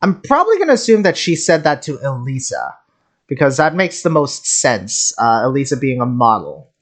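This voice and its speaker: A host talking casually and a little haltingly, reacting to a video game as commentary. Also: medium pitch, sing-song. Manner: halting, hesitant, giggly.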